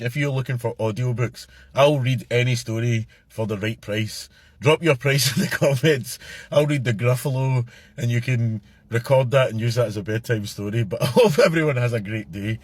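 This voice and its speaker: A man's voice: mid-range voice, slightly rough, no echo. A Scottish man in his forties with a Glasgow accent, chatting casually and joking to camera as a social video creator. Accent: Glaswegian Scottish. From Scotland. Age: 40s. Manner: joking, chatty, laughs between lines. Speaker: TikTok creator.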